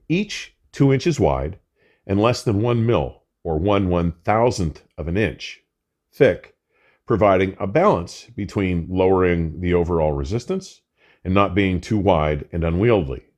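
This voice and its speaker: An older man presenting a scripted product review in a measured, emphatic way with formal wording. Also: low voice, a little mellow. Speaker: audio equipment reviewer and host.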